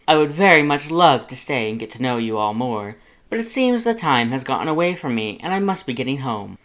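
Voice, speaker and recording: A man's voice: mid-range voice, very animated, brisk, fairly bright tone. A man voicing a pompous, dramatic male skeleton with a grandiose, formal, over-enunciated way of talking. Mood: grandiose, cheerful.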